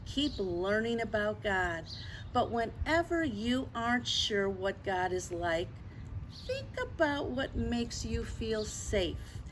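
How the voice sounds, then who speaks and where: Older woman, children's storyteller, unhurried pace, gentle expressive delivery, clear ambient noise behind her. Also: medium pitch. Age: 60s or older. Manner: gentle, expressive, addressing children with tag questions. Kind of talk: picture book read-aloud to children with gentle asides.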